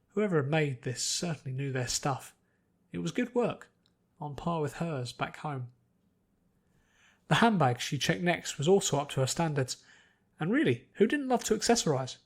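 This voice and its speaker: A man reading scripted fantasy fiction aloud as its narrator. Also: on the high side.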